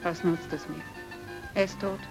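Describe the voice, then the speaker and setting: An actress playing a grieving, resigned young stage actress in an old German radio drama, understated, guarded and fatalistic. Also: female voice, on the low side, fairly even intonation, moderate pace, vocal fry. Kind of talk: 1950s-60s German radio drama acting. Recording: old radio play with music behind.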